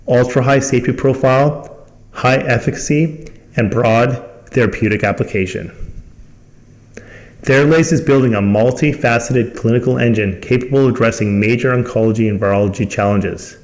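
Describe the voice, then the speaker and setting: A man's voice: mid-range voice, moderate pace, a little mellow. A man reading a scripted company presentation in a formal manner as the host. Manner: formal, reading from prepared text.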